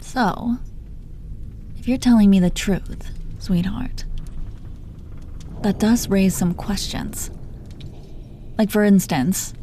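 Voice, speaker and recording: A woman's voice: medium pitch, slow pace, pauses often, dark tone, lightly acted. A woman playing a teasing, protective female supervillain in her thirties in an intimate roleplay audio drama, foul-mouthed but coaxing, full of pet names. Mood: fond, probing. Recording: noticeable background noise.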